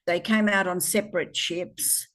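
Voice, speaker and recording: A woman in her seventies with an Australian accent, recalling her family's past in an interview, pausing often with ums. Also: on the low side, steady tone, a bit bright. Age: 70s. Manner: hesitant, many ums, reflective. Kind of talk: oral history interview.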